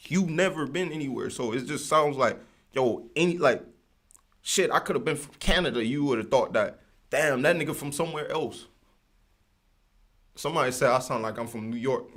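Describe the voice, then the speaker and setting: A man's voice: medium pitch, a bit bright. A man hosting a livestream in casual, rambling slang with lots of fillers. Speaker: livestream host.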